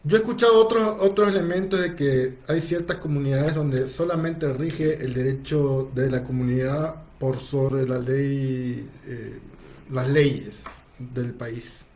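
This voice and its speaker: A man's voice: medium pitch, measured pace, a little mellow, slight background noise. A man hosting a political talk show, prompting his guest with follow-up questions.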